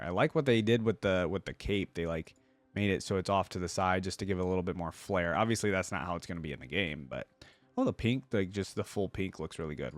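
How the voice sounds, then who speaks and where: Casual man with a lowish, dry-sounding voice. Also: dry recording. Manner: informal, slangy, addresses viewers. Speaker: video game reaction host. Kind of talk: casual reaction commentary.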